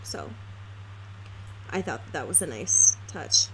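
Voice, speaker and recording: A woman's voice: sits low, animated, takes it slowly, pushed and bright, breathy edge, low-quality recording. A woman co-hosting a podcast, casually discussing a book in a wry, informal way. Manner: wry, informal, peppered with mild swearing. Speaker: podcast co-host.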